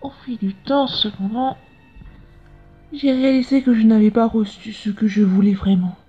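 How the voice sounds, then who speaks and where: A woman's voice: on the high side, fairly even intonation, moderate pace, warm tone, breathy edge. A woman voicing an earnest, curious scientist in a comic dub, explaining things in a reflective way. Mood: awed. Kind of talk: dubbed comic narration and dialogue. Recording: dull lo-fi sound with music behind.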